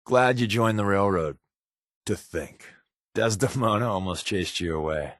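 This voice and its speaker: An actor playing a wry, secretive undercover rebel agent in a video game, with casual, sardonic, slangy delivery and a husky voice. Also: male voice, on the low side, expressive, unhurried, no echo, studio quality. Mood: friendly, candid. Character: wry, secretive undercover rebel agent, adult man, easygoing but guilt-ridden. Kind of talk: conversational video game character dialogue.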